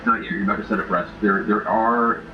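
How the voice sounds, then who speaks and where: Male game master, low register, ornate narration with pauses. Distant mic, clear background noise. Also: fairly even intonation, echoey room, dull audio.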